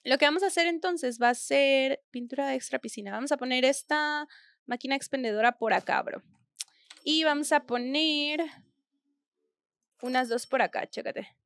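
Young Mexican woman, game streamer, high voice, slangy, chatty, dry close sound. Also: normal speed, fairly bright tone, no room sound. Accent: Mexican Spanish. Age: young adult. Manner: chatty, slangy, thinks aloud while playing. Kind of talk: casual gameplay commentary. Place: small indoor room at home.

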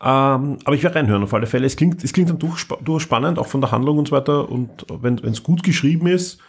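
A man co-hosting a podcast about audio dramas, chatting in a relaxed way with some stumbles. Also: medium pitch, quick pace, vocal fry, breathy edge. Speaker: podcast co-host.

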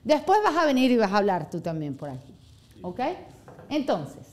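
A woman's voice: mid-range voice, normal speed. A woman in her thirties, an orthodontist, giving a live seminar to a small room and often checking in with "¿ok?". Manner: animated, gestures a lot, checks in with "¿ok?". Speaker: orthodontist lecturer.

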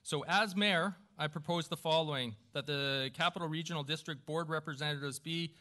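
A man speaking as mayor at a council meeting, running through formal procedure in a halting, self-deprecating way with informal asides. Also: fairly high pitch, sharp tone. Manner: halting, self-deprecating, stumbling over names. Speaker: newly elected mayor chairing a council meeting. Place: council chamber with a small audience.

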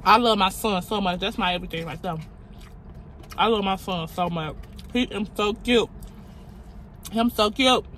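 A woman's voice: medium pitch, normal speed, pauses often, fairly bright tone, a little hiss or hum. Blunt young woman vlogging in a car. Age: 20s. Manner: blunt, outspoken, chatty. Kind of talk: casual talk to camera. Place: parked car. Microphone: phone camera on dashboard.

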